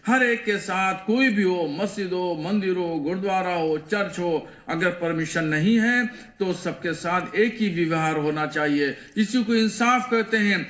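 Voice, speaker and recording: A man's voice: sits high, measured pace, few pauses, a bit bright. A man, a cleric, giving an unscripted statement in an echoey room.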